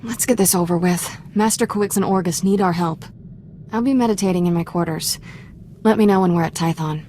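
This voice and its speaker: A woman plays a sardonic young trainee in a video game cutscene. Her voice is fairly low, flippant and casual, over noticeable ambient noise. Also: brisk, lightly acted. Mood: businesslike. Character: sardonic young female Jedi apprentice, former street urchin.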